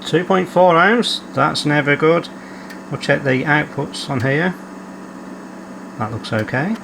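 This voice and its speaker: Repair-channel host, male, slightly higher pitch, methodical and matter-of-fact. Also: gravelly, noisy background. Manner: methodical, reads out measurements as he works. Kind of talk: calm narrated workshop repair. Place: home workshop.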